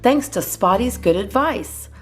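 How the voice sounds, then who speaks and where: A woman voicing a small, excitable female robin in a children's storybook, anxious and exclaiming. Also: medium pitch, unhurried, faint music behind, voice pushed up, natural voice. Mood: excited.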